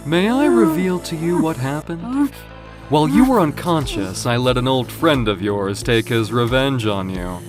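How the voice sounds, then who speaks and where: A man's voice: medium pitch, expressive, over music, close to an everyday voice. Guy playing a smug showy villain for an audio drama, drags out his words, voice swoops around a lot, taunting. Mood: mocking, smug. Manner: theatrical, taunting, savoring words with drawn-out pauses. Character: cocky, flamboyant male villain gloating over his captive creation.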